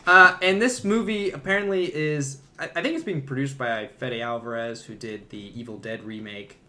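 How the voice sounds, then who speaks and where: A man talking casually as a podcast host in a relaxed back-and-forth movie discussion. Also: mid-range voice, normal speed, a little hiss or hum.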